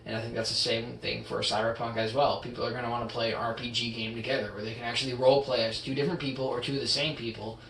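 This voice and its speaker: A young man co-hosting a casual podcast, rambling with lots of fillers and trailing off quietly at the ends of thoughts. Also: medium pitch, level tone, fast talker, creaky, hardly any pauses. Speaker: podcast co-host. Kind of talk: casual chat. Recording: roomy echo, distant mic, faint hiss.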